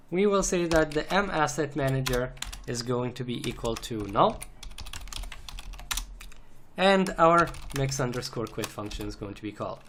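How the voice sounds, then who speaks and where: Slow-paced man narrating a coding tutorial. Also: medium pitch, a bit bright. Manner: methodical step-by-step explaining while typing. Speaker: programming tutorial instructor. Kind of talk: unscripted screencast tutorial narration. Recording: noisy, keyboard clicks and typing. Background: computer keyboard typing and clicks.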